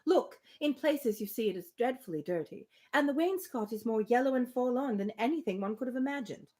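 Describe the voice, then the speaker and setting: A woman's voice: fairly high pitch, animated, brisk, mellow, natural voice. Woman reading as a fussy married lady. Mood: dismayed. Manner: fretting, particular. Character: fussy, dismayed married lady from a period novel.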